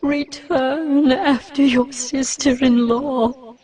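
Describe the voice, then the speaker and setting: Older widowed mother-in-law, very slow, high-pitched, solemn, grieving, audio Bible drama, music behind. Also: steady tone, darkish tone. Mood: sorrowful pleading. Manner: slow, solemn, drawn-out delivery.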